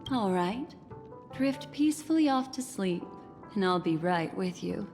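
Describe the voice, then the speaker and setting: Game role, enigmatic female memory keeper: poised, teasing, reassuring, measured, mid-pitched, in a reverberant hall. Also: expressive, darkish tone, faint music behind. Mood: soothing. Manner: measured, soothing, coy, formal phrasing. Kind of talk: video game voice acting.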